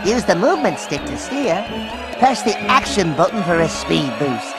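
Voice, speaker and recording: A man's voice: sits high, sing-song, moderate pace, over loud music. A man voicing a dim, earnest, goofy cartoon brother creature for a video game. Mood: puzzled.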